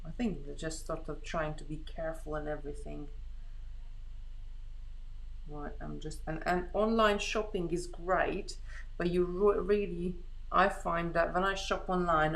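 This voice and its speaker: A woman's voice: lowish voice, vocal fry, a little hiss or hum, rough recording. A middle-aged woman from Hungary chatting casually on a live craft stream, rambling and thinking aloud as she works. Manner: rambling, chatty, thinking aloud while working with her hands.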